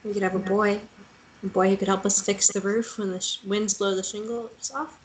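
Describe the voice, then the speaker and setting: A woman's voice: medium pitch, steady tone, moderate pace, lightly acted. A woman playing a tender, hesitant young woman in a naturalistic fantasy romance table read. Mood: wistful. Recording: noisy recording with room ambience.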